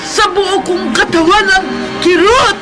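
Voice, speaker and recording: A gloating villainess who commands killer bees. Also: female voice, extremely high pitch, loud music behind the voice. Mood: agonized shrieking. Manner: gloating, slow and menacing, cackling. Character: vengeful wicked woman commanding killer bees. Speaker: villain in a radio horror drama. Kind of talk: old-style radio horror acting.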